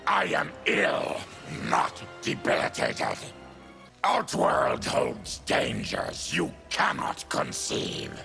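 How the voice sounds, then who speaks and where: An actor voicing a bitter, proud, fanged monster warrior in a video game, gravelly and snarling. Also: male voice, fairly low pitch, huge pitch swings, very slow, bright, forceful tone, soft background music, exaggerated voice. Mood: bitter, menacing.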